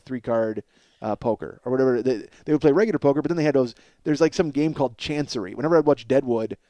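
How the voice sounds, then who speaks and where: A man in his forties hosting a comedy podcast, rambling in an animated, profane monologue and often cutting himself off. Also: medium pitch, dry recording. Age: 40s. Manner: animated, emphatic, self-interrupting riffing.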